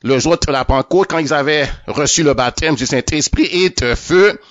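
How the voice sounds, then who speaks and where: A man's voice: on the high side, normal speed, dry recording. A man giving a spoken religious testimony, often stammering over and repeating his words as he goes. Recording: dull lo-fi, dry.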